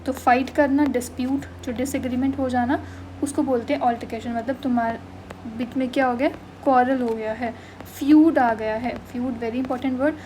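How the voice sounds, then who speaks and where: A woman's voice: high voice, steady tone, normal speed, dark tone, slight background noise, low-quality recording. A young woman teaching English vocabulary in an exam-prep class, mixing Hindi and English, often checking in with "okay" and "done". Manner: mixes Hindi and English, frequent "thik hai" and "done" check-ins. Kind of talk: online class explaining vocabulary.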